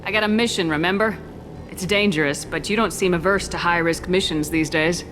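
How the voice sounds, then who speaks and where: A woman playing a brisk female commander. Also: on the low side, noisy background, close to an everyday voice. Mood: matter-of-fact. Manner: brisk, matter-of-fact orders. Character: female military commander, blunt and composed. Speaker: squad commander. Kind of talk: video game voice acting. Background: game music.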